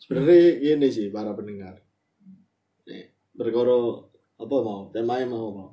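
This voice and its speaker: A man's voice: on the low side, moderate pace, dark tone. A man with an East Javanese accent hosting a casual, playful chat, often repeating his phrases and slipping into regional slang. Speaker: podcast host.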